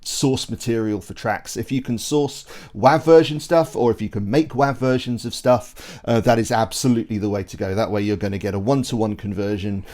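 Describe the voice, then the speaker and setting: A man's voice: lowish voice, normal speed, hardly any pauses, darkish tone. A middle-aged man hosting a casual podcast chat and reading the outro in a conversational way. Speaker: podcast host.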